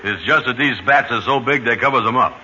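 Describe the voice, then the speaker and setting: An actor playing a slow, easygoing, naive sidekick in an old radio comedy. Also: male voice, mid-range voice, lightly acted. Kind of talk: 1940s radio comedy acting. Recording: dull old radio broadcast with loud hiss.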